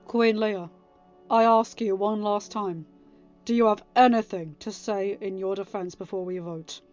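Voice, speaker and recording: A woman's voice: mid-range voice, fairly even intonation, measured pace, darkish tone, dull audio, soft background music, close to an everyday voice. Woman as a stern, commanding young king. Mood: stern, firm. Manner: firm, formal, hard-edged.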